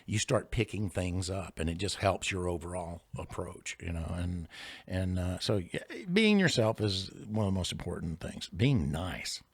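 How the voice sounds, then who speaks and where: Older male singer-songwriter guest. Gravelly, big bluesy voice, chatty and anecdotal, dry close studio sound. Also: deep voice, lively intonation, moderate pace, dry recording. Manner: chatty anecdotal, jokey asides. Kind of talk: casual interview storytelling. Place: recording studio.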